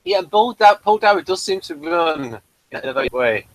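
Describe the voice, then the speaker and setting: A man's voice: a little high, very animated, normal speed, dry recording, low-quality recording. A man hosting a casual chat reviewing a TV episode, easygoing and a bit hesitant. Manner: easygoing, chatty, a little hesitant. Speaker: podcast host.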